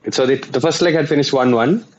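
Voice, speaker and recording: A man, a guest journalist explaining at length with frequent pauses. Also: mid-range voice, dull audio, a little hiss or hum. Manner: explanatory, with many uh fillers and restarts. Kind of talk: conversational interview.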